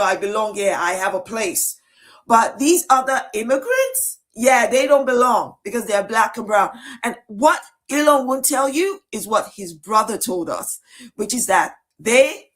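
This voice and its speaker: Indignant woman commentator, high voice. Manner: indignant, cutting, emphatic. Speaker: video commentator. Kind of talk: political commentary video.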